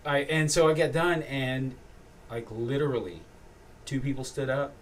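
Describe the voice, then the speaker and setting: A man, an actor being interviewed, telling lively stories in casual chat and laughing often. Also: medium pitch, normal speed. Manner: animated storyteller, laughs often. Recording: slightly distant mic, faint noise.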